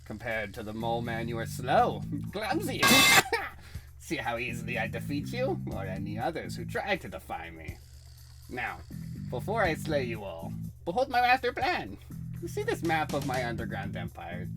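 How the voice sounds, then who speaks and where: Gloating comic book supervillain, bitter and grandiose, swoopy delivery, music behind. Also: male voice, mid-range voice, wildly swooping pitch, moderate pace. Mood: menacing triumph. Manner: theatrical, grandiose, menacing. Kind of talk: dramatic comic book reading.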